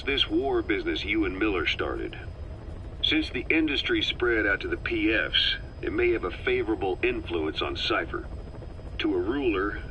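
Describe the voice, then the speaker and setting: An actor playing a shrewd, world-weary intelligence operative in a video game cutscene, speaking in a measured, knowing way. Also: male voice, sits low, pushed and bright, dull audio, a little hiss or hum, heavily acted.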